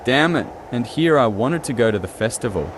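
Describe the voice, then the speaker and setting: A man's voice: medium pitch, expressive, brisk, lightly acted. A man voicing a brash, flirty devil hunter squad leader in a manga fan dub, casual yet commanding. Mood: grumbling, casual. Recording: noisy track with street ambience.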